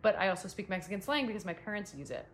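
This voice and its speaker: A woman co-hosting a casual tennis podcast, animated and enthusiastic, swearing casually. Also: medium pitch, rapid-fire, slight background noise. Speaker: podcast co-host. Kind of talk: casual chat.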